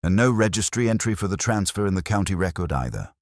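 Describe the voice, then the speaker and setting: A man voicing a courteous, quietly incisive police inspector, terse and probing. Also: low voice, expressive, fast, dry recording, clean studio sound, voice pushed up.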